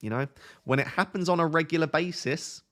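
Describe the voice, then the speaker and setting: A young man hosting a fantasy football live stream, reading viewer questions aloud and answering them in a relaxed, soothing voice. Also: a little high, fairly quick. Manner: self-described relaxing, soothing voice. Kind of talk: casual live stream chat.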